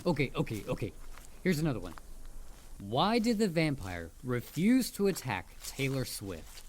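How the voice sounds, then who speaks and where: A performer voicing a mischievous, excitable headless dullahan in a roleplay audio drama, playful, theatrical and full of jokes. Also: medium pitch, slight background noise. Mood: playful, jokey.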